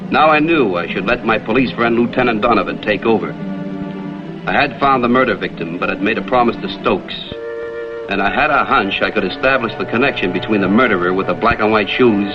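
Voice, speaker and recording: Low-voiced deadpan detective played by a man, muffled soundtrack. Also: close to an everyday voice. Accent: American. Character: cool, wisecracking male private investigator, guarded and brisk. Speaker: actor playing detective lead and narrator. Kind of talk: vintage American detective drama acting with noir narration. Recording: muffled old film soundtrack, noisy.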